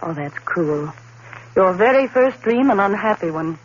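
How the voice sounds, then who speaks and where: A woman's voice: medium pitch, expressive, measured pace, lightly acted. A woman playing an anxious, devoted sweetheart, solicitous and pleading, in a 1950s broadcast drama on an old recording. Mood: concerned, soothing. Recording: muffled, noisy early 1950s broadcast recording.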